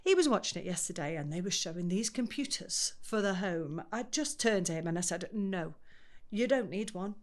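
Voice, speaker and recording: Actress doing a middle-aged mum in an audio play, patient and coaxing, very lilting up and down. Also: female voice, mid-range voice, close to an everyday voice. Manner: coaxing, patient, explaining simply. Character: patient middle-aged daughter caring for her confused mother. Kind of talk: audio drama acting.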